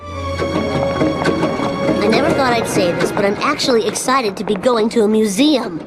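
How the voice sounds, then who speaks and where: A woman's voice: on the high side, lively intonation, measured pace, over music. A woman voicing a cheeky, sardonic ten-year-old boy in a cartoon game, wry and brash.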